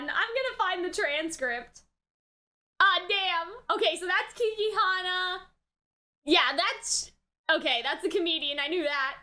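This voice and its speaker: Very high, bright, animated woman reacting. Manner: exclamatory, slangy, thinking aloud. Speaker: reaction video host. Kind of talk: casual live reaction commentary.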